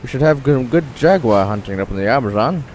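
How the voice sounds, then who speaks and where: A man's voice: mid-range voice, lively intonation, a little fast, a little mellow, slight background noise, close to an everyday voice. A man playing a thoughtful, uneasy hunting companion in an old-time radio drama, speaking in a hesitant, musing way.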